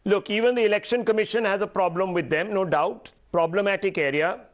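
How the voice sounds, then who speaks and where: A man's voice: high voice, brisk. A man anchoring a TV news debate, firmly cutting in to steer the speakers and give his own commentary. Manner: firm, cuts in to steer speakers. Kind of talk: moderating a studio debate.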